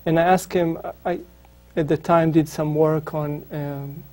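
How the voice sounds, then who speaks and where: Hesitant Israeli man, dark and flat. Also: on the high side, a little slow. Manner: hesitant, many ums and restarts. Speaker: author, interview guest. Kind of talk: reflective interview answers.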